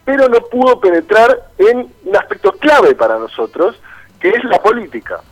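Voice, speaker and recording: A man's voice: high voice, sing-song, normal speed, rough recording. A man with a Buenos Aires accent speaking as a political candidate in a radio interview by phone, laying out his points in an articulate, persuasive way. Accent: Rioplatense Argentine, Buenos Aires.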